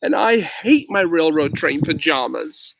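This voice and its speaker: A man reading a storybook outdoors as a grumpy, put-upon young boy, with emphatic, drawn-out complaining phrases. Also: fairly high pitch, expressive, vocal fry, noisy background. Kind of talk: storybook read-aloud. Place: outdoors on a lawn.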